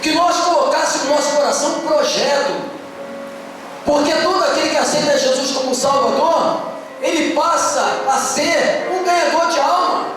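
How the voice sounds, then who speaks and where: A man, preaching a fervent sermon as pastor and swinging between hushed and shouted, sing-song phrasing. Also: extremely high pitch, normal speed, noisy background. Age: 50s. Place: church service. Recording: very echoey hall, picked up from a distance. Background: music playing.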